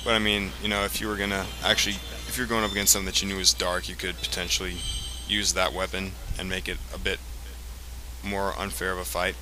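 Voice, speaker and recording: A man giving casual, explanatory gameplay commentary in a halting way, as the host of a let's play. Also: low-pitched, flat delivery, noisy background. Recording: game music behind the voice. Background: video game music.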